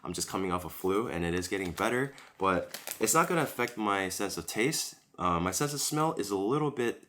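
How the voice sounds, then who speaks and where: A man's voice: lowish voice, expressive, brisk, fairly bright tone, a little hiss or hum. A man reviewing a sandwich while eating it, chatting casually and recovering from a cold, recorded at home on a camera-mounted mic. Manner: chatty, rambling, leisurely tasting commentary. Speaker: food vlogger. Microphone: camera-mounted shotgun mic.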